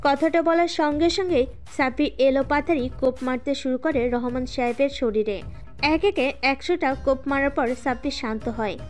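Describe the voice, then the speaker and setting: High-pitched woman narrating a story. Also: moderate pace, a little mellow, soft background music.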